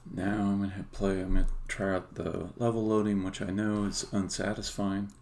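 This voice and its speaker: A man who develops games, talking casually and haltingly to himself as he live-codes on a stream. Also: fairly low pitch, level tone, creaky, a little mellow. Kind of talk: casual live-coding commentary, thinking aloud. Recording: faint background noise with keyboard clicks. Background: computer keyboard typing and clicking.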